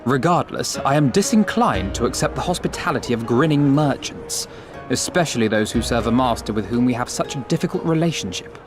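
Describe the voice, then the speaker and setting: Man playing a haughty young diplomat, expressive. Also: medium pitch, a little fast, music in the background, lightly acted. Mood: indignant, suspicious. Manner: haughty, precise, formally eloquent. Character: proud, formal, wary young male diplomat. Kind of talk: scripted video game character dialogue.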